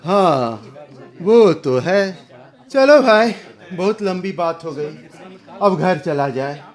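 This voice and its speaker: Casting: assured, kindly village elder in radio drama, unhurried high voice over faint music. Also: male voice, lively intonation, exaggerated voice. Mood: amiable. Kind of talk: Hindi radio drama acting.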